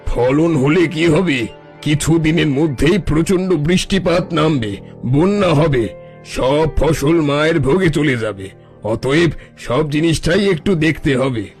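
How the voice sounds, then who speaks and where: A man voices a crafty cartoon holy man with a fairly high male voice. He puts on self-important airs to swindle villagers, over soft background music. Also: unhurried. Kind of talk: cartoon story dubbing.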